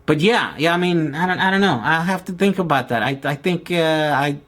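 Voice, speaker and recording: Rambling man with a higher voice. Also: fairly quick, a bit bright. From Brazil. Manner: rambling, many restarts and fillers. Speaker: vlogger answering live viewer questions. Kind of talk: casual live Q&A chat. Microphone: outdoor camera with mic.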